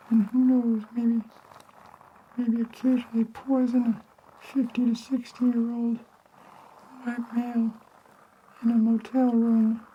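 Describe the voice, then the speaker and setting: Man doing a high cooing detective falsetto, flat intonation, coaxing, ASMR roleplay, dull lo-fi sound. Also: a little hiss or hum.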